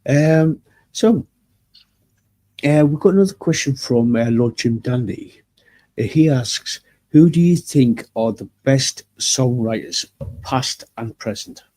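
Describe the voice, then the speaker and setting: A man's voice: mid-range voice, expressive, takes it slowly, warm tone. A man hosting an interview, reading out viewer questions with frequent hesitant uhs. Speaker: interviewer host.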